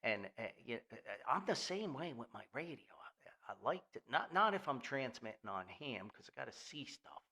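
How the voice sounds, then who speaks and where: An older American man hosting a podcast in a rambling, nostalgic monologue, folksy, halting and enthusiastic. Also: mid-range voice, very animated, bright, forceful tone, breathy edge. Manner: folksy, halting, enthusiastic, refers to himself by name. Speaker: podcast host.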